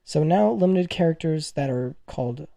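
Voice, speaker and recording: A man giving casual gaming commentary to viewers in an excitable, gushing manner, as the host of a news video. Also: a little high, normal speed, vocal fry, no echo.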